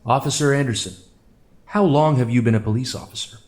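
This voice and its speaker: A man's voice: mid-range voice, fairly quick, darkish tone, lightly acted. A man acting as a courtroom lawyer in an audio drama, questioning in a formal, persistent way. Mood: probing.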